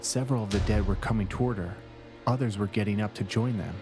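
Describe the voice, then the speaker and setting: A man narrating a scary story from a script in measured, deliberate phrases. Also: on the low side, darkish tone. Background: background music.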